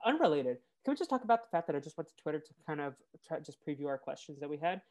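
A woman's voice: low voice, big pitch swings, very fast, darkish tone. A woman co-hosting a podcast in casual chat, with a nasal voice, chatty and trailing off into laughs. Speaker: podcast co-host.

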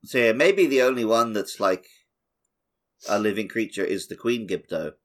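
A man's voice: fairly low pitch, lively intonation, normal speed, fairly bright tone. A man hosting a podcast, chatting casually about a video game. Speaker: podcast host.

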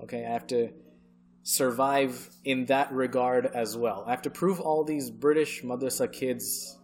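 An American man with an ordinary mid-range voice shares his life story in a podcast interview. He speaks earnestly and leans on his points, often pausing to check in with the listener. A faint hiss runs quietly behind him. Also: mid-range voice, fairly even intonation. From United States. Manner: earnest and emphatic, often checking in with "okay" and "right". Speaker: Islamic scholar guest.

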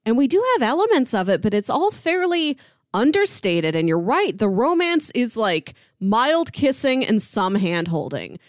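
A woman in her thirties chats as a podcast co-host in a casual reviewing style. Her pitch moves around freely, giving her talk an animated shape, and the sound is dry with no room echo. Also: moderate pace. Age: 30s. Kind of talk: casual chat reviewing a drama series.

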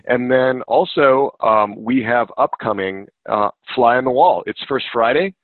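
A man co-hosting a conversational podcast, earnest and thoughtful, speaking in a measured way. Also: medium pitch, no room sound. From United States. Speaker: podcast co-host, psychologist. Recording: phone-like remote call line.

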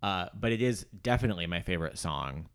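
A man co-hosting a casual pop culture podcast, telling things in an animated way and acting out little asides. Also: low voice, fast. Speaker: podcast co-host.

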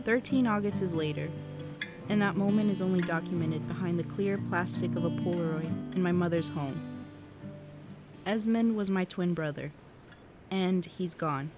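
A wistful seventeen-year-old girl, played by a woman, confides in an audio diary for a podcast drama. Her voice sits on the low side, reflective and a little awkward, with hesitant self-corrections. Guitar music plays clearly behind her. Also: unhurried, long pauses, natural voice. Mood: wistful, nostalgic. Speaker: actor in a fiction podcast. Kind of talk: intimate first-person cassette diary narration. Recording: music under the voice.

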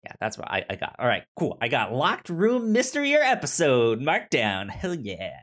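A man co-hosting a casual film discussion podcast, talking in a halting, stammering way with repeated words and trailing phrases. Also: mid-range voice, huge pitch swings, fairly bright tone. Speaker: podcast co-host.

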